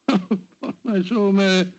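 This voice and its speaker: An actor playing a bluff, fussy older English gentleman doctor who stammers and blusters, in a 1940s radio drama with an English accent. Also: sits high, fairly even intonation, slow. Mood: puzzled. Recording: dull vintage radio recording.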